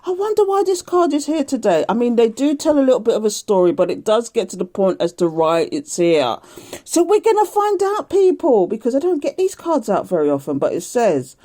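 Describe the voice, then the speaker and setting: A middle-aged woman a croaky voice giving a casual daily tarot reading, chatty and laughing often. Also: on the high side, sing-song, fairly quick, dark tone. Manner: chatty, laughs often, reads aloud from a card booklet. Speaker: tarot reader.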